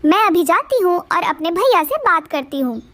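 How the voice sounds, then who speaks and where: A woman's voice: very high-pitched, sing-song, normal speed, a bit bright, no room sound, full character voice. A woman voicing a flirtatious, secretive crow bride in a moral-story cartoon, speaking in a coaxing way.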